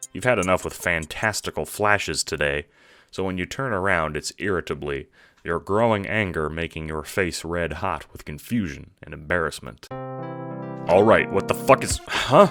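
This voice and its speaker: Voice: deep voice, moderate pace, music in the background. A narrator reading second-person audio drama narration in a storytelling style.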